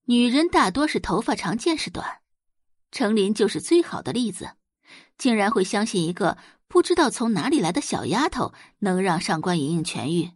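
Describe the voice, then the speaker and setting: A woman narrates a drama from a script. Her delivery is highly animated, with a husky, breathy edge and a close, dry recording. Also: normal speed, no echo. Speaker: audiobook narrator.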